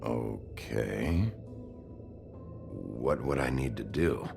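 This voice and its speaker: Very deep gravelly detective. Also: male voice, expressive, drawn-out words, over music, full character voice. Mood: guarded, subdued. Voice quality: gravelly, growling. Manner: terse, blunt questioning. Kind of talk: dramatic video game acting.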